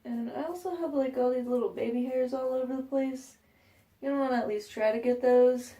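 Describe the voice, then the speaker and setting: A woman's voice: high voice, steady tone, normal speed, dark tone. A woman vlogging a casual how-to from her bathroom, rambling with fillers and joking asides, a little stuffed up. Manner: chatty, rambling, frequent fillers and self-mocking asides. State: a bit stuffed up. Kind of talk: casual how-to vlog chat. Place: home bathroom.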